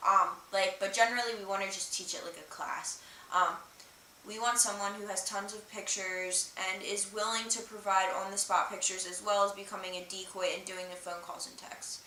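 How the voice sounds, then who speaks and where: A woman's voice: medium pitch, fairly even intonation, normal speed, bright, forceful tone. A woman hosting a livestream, chatting casually and explaining things in a rambling, hesitant, informal way. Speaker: livestream host. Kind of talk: casual livestream chat, explaining and reading out viewer comments. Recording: roomy, distant mic with faint hiss.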